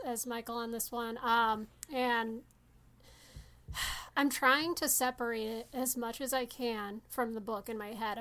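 Woman chatting about movies on a podcast, voice a bit high. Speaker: podcast panelist. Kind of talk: casual film discussion.

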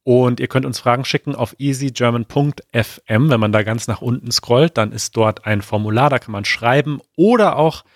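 A man co-hosting a podcast in relaxed casual chat, often hesitating and searching for words. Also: mid-range voice, normal speed, hardly any pauses, no echo, clean studio sound. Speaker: podcast co-host.

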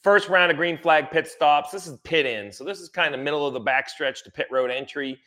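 A man's voice: fairly high pitch, brisk, sharp tone. A man giving conversational motorsport analysis, emphatic and repeating phrases to drive points home.

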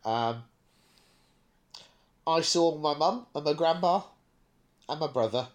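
A man's voice: fairly high pitch, slow. A British man co-hosting a podcast, chatting casually in a wry, mock-serious way. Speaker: podcast co-host.

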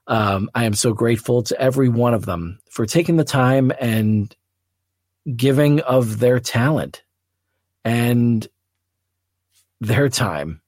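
Male podcast host, lower register, very unhurried and earnest, phrases broken by pauses, close dry recording. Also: darkish tone, dry recording. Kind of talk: solo reflective podcast talk.

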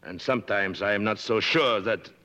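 A man's voice: fairly low pitch, normal speed, sharp tone. An actor playing a shifty, murderous outlaw trader in an old radio drama, evasive and insinuating, drawing out his phrases. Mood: insinuating, uneasy. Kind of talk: 1950s radio drama acting. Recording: old radio broadcast recording.

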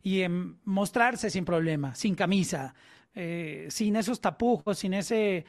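A woman's voice: fairly low pitch, slow, dry recording, studio quality. Colombian woman hosting an interview. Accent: Colombian Spanish. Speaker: podcast host. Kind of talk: interviewing a guest.

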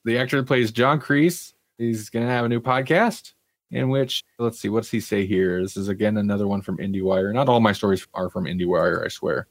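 A low-pitched man hosts a casual entertainment podcast in a dry, close recording. He moves between reading news items and offhand commentary, with a wry, self-deprecating streak. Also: a little fast, creaky, dry recording. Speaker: podcast host. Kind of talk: casual entertainment news chat, partly reading articles aloud.

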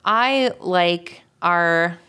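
A woman co-hosting a podcast in relaxed, unscripted conversation, supportive and agreeable as she chimes in. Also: fairly low pitch, very slow, smooth. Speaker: podcast co-host and show creator. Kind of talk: casual, unscripted podcast chat.

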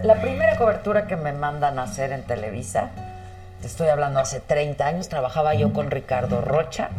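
Mexican woman host in her fifties, low voice, frank and slangy. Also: a little mellow. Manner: frank and slangy, chimes in with quips. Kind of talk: casual chat.

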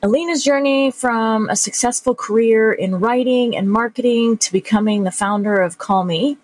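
A woman's voice: fairly high pitch, moderate pace, darkish tone, no room sound. A woman hosting a podcast, introducing her guest with upbeat enthusiasm and asking questions. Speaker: podcast host. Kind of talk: podcast interview with read ad. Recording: music playing behind the intro.

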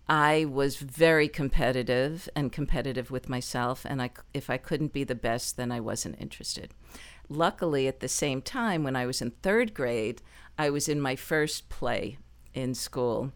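A middle-aged woman with a low speaking voice, a performer telling stories from her life in an interview, lively and quick to laugh. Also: smooth. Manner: lively, laughs often, animated asides. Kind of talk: conversational storytelling interview.

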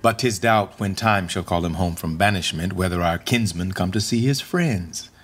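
A man's voice: sits low, normal speed, a bit bright, breathy edge. A man playing a vain, callous young king in a Shakespearean radio drama, sneering and mimicking theatrically in verse. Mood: mocking, scornful. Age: young adult.

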